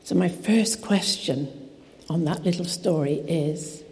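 Croaky woman preaching in a hall. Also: fairly low pitch, takes it slowly, a little mellow. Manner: chatty, self-deprecating asides. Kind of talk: informal sermon. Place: church hall. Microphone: PA microphone. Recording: reverberant hall with faint noise.

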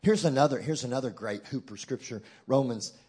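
A man's voice: mid-range voice, fairly quick, darkish tone, slightly rough. A man with a Texan accent preaching a sermon as guest speaker, emphatic and joking, calling out to his listeners. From Texas. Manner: emphatic, joking, call-and-response with the crowd.

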